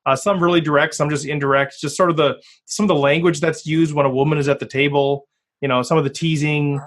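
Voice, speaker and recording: A man's voice: a little high, steady tone, fast, a bit bright. A man hosting a podcast from Minnesota, speaking earnestly and candidly in a loose conversational way. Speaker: podcast host.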